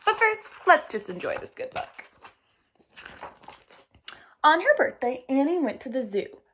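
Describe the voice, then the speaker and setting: High, animated woman reading aloud. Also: unhurried, slight background noise. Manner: animated, addresses young listeners directly. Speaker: teacher reading aloud to children. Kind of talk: expressive picture-book read-aloud.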